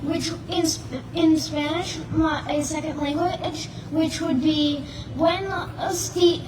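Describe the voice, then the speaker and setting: A woman greets the crowd haltingly, searching for her words. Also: sits high, steady tone. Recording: distant, echoey, crowd and noise.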